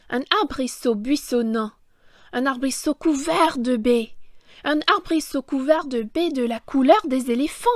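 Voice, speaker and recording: A woman reading a children's picture book aloud, deliberate and theatrical, stressing key words. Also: sits high, no room sound. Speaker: children's story reader, French teacher.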